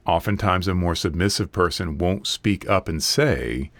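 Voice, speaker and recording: A man hosting a solo podcast, his voice a bit raspy from a recent illness, talking in an earnest, steady, advice-giving way. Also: low voice, measured pace, no echo. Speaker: podcast host. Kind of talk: casual solo podcast monologue.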